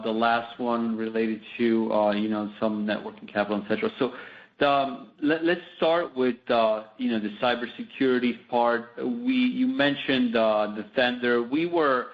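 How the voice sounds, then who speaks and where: A man's voice: mid-range voice, moderate pace. A man speaking as a company executive, answering analysts off the cuff in a hesitant, wordy way full of asides. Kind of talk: spontaneous business explanation. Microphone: conference call line. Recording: slightly muffled conference call audio.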